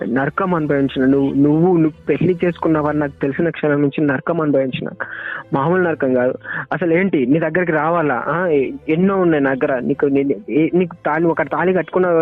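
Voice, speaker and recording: A young man with a Telangana accent speaks very quickly over a tinny phone line. He sounds hurt and upset, reproaching his former girlfriend and circling back insistently on his phrases. Music plays behind the call, and his voice sits fairly high. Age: young adult. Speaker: caller's former boyfriend. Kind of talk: emotional private phone argument.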